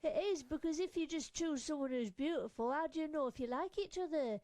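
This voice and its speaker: An earnest, chatty young girl from a puppet fairytale, acted out by a woman. Her voice is high and sounds close and dry, and she is eager and opinionated, quick to butt into the story. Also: a little mellow, no room sound, lightly acted. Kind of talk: improvised puppet show dialogue.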